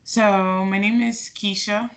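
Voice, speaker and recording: A woman's voice: mid-range voice, fairly even intonation, slow. A woman in her twenties from Portugal, speaking casually as an interview guest, with many fillers and restarts. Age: 20s.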